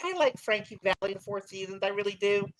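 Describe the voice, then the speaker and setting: A hoarse woman who is a guest on a music podcast, talking in an animated, halting way. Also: medium pitch, rough recording.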